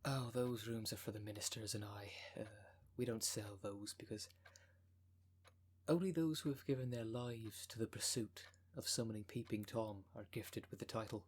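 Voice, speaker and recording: A man playing a smooth-talking, con-artist female minister of a demon cult in an ASMR roleplay, with a polished, unctuous sales manner. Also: lowish voice, normal speed. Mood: businesslike sales pitch. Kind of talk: ASMR roleplay audio drama.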